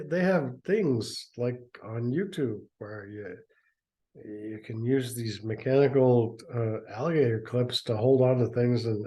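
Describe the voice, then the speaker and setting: A man's voice: mid-range voice, warm tone. A man reading a story aloud and breaking off into sarcastic, mocking asides, often stammering. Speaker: reader and commentator. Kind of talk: dramatic reading with sarcastic commentary.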